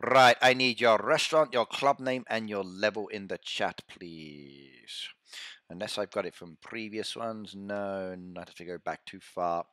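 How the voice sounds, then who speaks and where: A middle-aged British man talks casually as he plays a game on a live stream, answering his viewers and reading their chat aloud. His voice sits low, and it is picked up close and dry on a headset mic with almost no room sound. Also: a little slow, a bit bright, dry recording. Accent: British English. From Britain.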